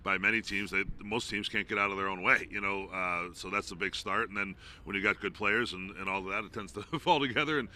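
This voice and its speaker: A man's voice: fairly low pitch, fairly quick, few pauses, sharp tone. A man who works as a play-by-play announcer, giving informal, discursive sports analysis as an interview guest.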